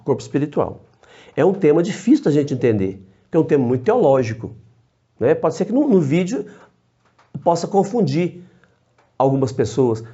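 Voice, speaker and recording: Brief: male priest, fairly high but mellow voice, patient teacher, dull lo-fi sound. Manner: measured, explanatory, short phrases with frequent tag questions. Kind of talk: theology teaching to camera. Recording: dull lo-fi recording.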